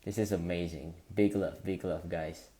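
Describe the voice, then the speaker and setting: A man, hosting a casual live stream, greeting viewers and talking in halting bursts with lots of fillers. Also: low voice, steady tone, dark tone. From Indonesia. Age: 20s.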